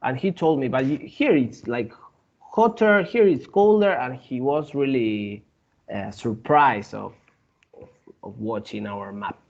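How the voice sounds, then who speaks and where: A man from Argentina with an Argentine Spanish accent, a guest in a casual interview who speaks haltingly, with many fillers and restarts. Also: mid-range voice, animated, creaky, muffled recording.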